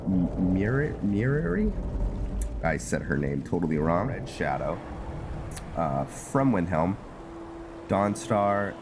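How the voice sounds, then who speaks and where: Slow, low-voiced man thinking aloud. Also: background noise. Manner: musing aloud, hesitant, halting. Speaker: gamer playing and narrating a fantasy roleplay series. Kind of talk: casual gameplay commentary. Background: loud game music under the voice.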